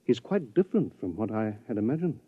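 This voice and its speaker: A man's voice: lowish voice, very animated, fast talker, slightly rough, dry recording. An actor playing a fretful, earnest male scholar with a polite, stammering delivery in a 1950s radio drama. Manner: hesitant, stammering, polite, flustered. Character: fretful, earnest scholar from the future stranded in time. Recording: muffled vintage radio recording.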